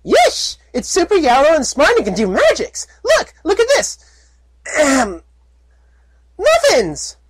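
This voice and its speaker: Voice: medium pitch, sing-song, moderate pace, no room sound, voice pushed up. A storyteller voicing a cheerful, naive young filly pony who talks in a bubbly, childlike squeal. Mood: giddy.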